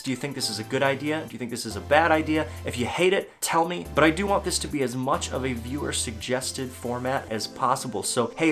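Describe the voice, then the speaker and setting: A man's voice: medium pitch, fairly bright tone. A man hosting a music production tutorial, talking casually and directly to viewers in an upbeat, chatty way.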